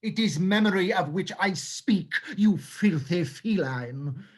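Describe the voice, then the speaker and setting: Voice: medium pitch, lively intonation, takes it slowly. An actor playing a pompous, drunken, narcissistic owl in a stage script reading, with a florid, hammy delivery. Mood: grandiose.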